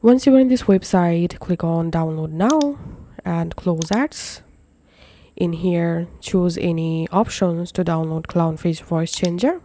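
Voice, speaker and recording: A tutorial presenter narrating software steps in an instructional way, with slightly non-native phrasing. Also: medium pitch, slow pace.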